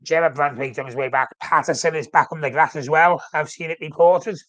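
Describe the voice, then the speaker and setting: A man's voice: a little high, steady tone, brisk, fairly bright tone, no echo. Snuffly man chatting on a live stream. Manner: rambling, self-correcting, reads out comments. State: snuffly with a flu. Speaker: fan channel host. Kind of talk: casual live-stream football chat.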